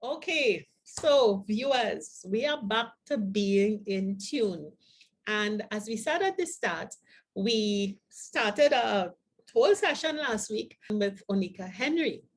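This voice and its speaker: An older woman co-hosting a talk show, speaking to viewers in a deliberate, emphatic manner. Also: mid-range voice, lively intonation. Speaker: co-host of a show for the over-fifties.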